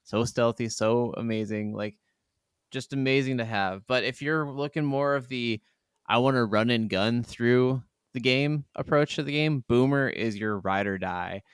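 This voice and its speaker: A man's voice: medium pitch, a little slow, smooth, no room sound, studio quality. A man chatting casually and emphatically about video games as a podcast co-host, swearing freely. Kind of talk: casual gaming chat.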